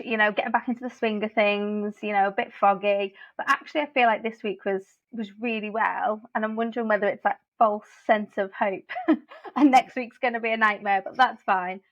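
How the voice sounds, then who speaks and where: A woman's voice: medium pitch, dull audio. A woman, an early years teacher, chatting as a podcast guest and laughing often. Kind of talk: casual podcast chat.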